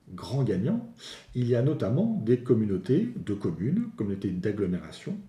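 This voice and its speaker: A man giving a semi-improvised technical explanation in a didactic way, pausing often and restating his points in plainer terms. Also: mid-range voice, warm tone, roomy sound. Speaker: local public finance analyst explaining a reform. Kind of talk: spoken explanatory analysis, semi-improvised presentation.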